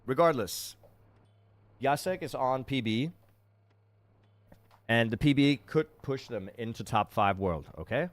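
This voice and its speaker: A man streams live commentary on a racing game, reading chat and laughing at his own slips. His voice sits at a middling pitch, and the sound is close and dry with no room around it. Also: expressive, unhurried, long pauses, no room sound. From Denmark. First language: Danish. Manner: self-deprecating, chatty, reacts to chat.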